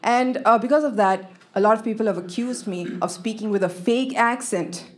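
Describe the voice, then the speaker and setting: A woman's voice: fairly high pitch, moderate pace. A woman from Kenya performing a playful, conversational stand-up set on a club stage with a handheld mic. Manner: conversational, playful, mimics accents in quoted lines. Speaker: stand-up comedian. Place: comedy club stage with live audience. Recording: live club recording with audience laughter.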